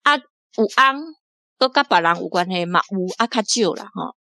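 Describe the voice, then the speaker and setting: A woman with a Taiwanese accent, an academic giving casual, animated, chuckly literary commentary. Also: mid-range voice, normal speed, creaky, no echo, studio quality. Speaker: associate professor, literary commentator.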